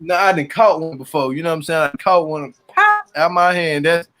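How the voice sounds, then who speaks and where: A man's voice: on the high side, big pitch swings, moderate pace, sharp tone, faint music behind. A man hosting a casual group chat, telling loose slangy jokes and stories. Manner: loose joking storyteller, slangy and profane. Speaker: host. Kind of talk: casual group chat, reality show recap.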